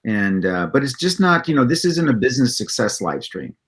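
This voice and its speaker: A man's voice: mid-range voice, big pitch swings, a little fast. A man co-hosting a livestream in casual, unscripted discussion. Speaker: livestream co-host.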